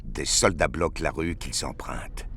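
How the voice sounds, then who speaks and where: A man narrating a scripted French audio drama in a measured, solemn storytelling manner. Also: rumbling low, fairly bright tone.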